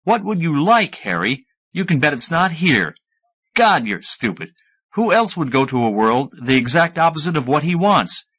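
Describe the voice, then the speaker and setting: A man's voice: fairly high pitch, big pitch swings, moderate pace, fairly bright tone, voice pushed up. A man voicing an impatient, sardonic male scientist, the hero of an audiobook novel, in a direct manner. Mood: exasperated.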